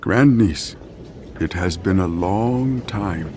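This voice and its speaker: An actor playing a wise, earnest older man, a tracker who speaks gravely and formally in a video game. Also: sits low, animated, slow, mellow, a little hiss or hum. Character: wise, solemn elderly Native tracker, earnest great-uncle. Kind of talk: scripted video game cutscene acting.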